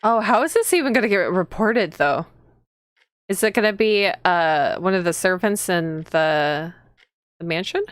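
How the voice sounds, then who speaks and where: Smooth-voiced woman streamer, expressive and jumpy. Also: lowish voice, measured pace, no room sound, studio quality. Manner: expressive, jumpy, exclaims often. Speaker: streamer, VTuber. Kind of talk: visual novel playthrough, reading aloud with reactions.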